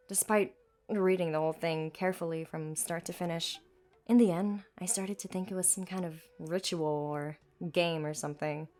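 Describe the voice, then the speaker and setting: A woman's voice: fairly low pitch, darkish tone, natural voice. A woman voicing a frank, casual desert mercenary in an amateur game dub, explaining things in a conversational, reflective way.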